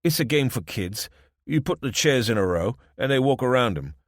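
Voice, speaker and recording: A man's voice: mid-range voice, animated, moderate pace, no room sound, studio quality, voice pushed up, natural voice. A man voicing a wry, understated man with a London accent who reluctantly leads the town's police. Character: wry middle-aged man, reluctant town police chief.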